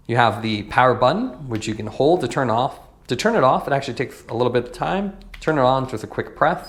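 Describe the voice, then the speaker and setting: Guy doing a casual product review, mid pitched voice, plain matter of fact explaining.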